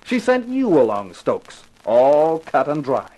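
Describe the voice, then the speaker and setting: A man's voice: fairly high pitch, huge pitch swings, takes it slowly, mellow, exaggerated voice, low-quality recording. A man acting a cocky, sardonic private detective with flippant, slangy talk in a 1950s radio crime drama. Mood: knowing, accusing.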